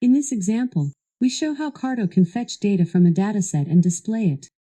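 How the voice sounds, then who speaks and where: A woman's voice delivering scripted instructional narration as a voice assistant, in a measured, even and formal way. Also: mid-range voice. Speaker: voice assistant guiding a product demo.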